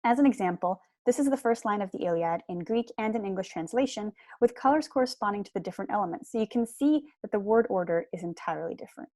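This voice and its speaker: Young female lecturer, explanatory and articulate, medium pitch, dry home recording. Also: lively intonation, fast talker, hardly any pauses, warm tone, no echo. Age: young adult. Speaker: lecturer, PhD student. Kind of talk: prepared seminar lecture. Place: small room at home.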